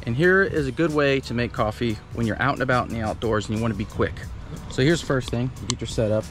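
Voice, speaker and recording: A man in his thirties giving a relaxed, chatty step-by-step demonstration outdoors at a campsite under a canopy tent. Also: medium pitch, fast talker. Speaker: vlogger. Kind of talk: casual how-to demo. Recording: background music under voice. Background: music.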